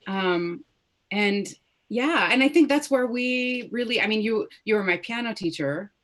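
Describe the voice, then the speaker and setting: A woman's voice: a little high, lively intonation, measured pace. A woman in her thirties, born in Lebanon with Arabic as her first language, talking reflectively in a casual video-call interview, often restarting her sentences. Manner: warm, reflective, many restarts and fillers. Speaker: guest soprano.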